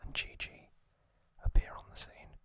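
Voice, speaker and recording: A man speaking entirely in a breathy, unvoiced whisper, telling a story in halting short phrases as an ASMR host. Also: fairly bright tone.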